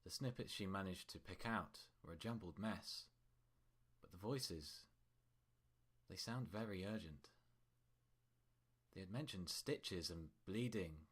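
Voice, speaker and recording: Low voiced guy narrating a story, reading it off a script like an audiobook. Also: animated, slow, long pauses, fairly bright tone, husky.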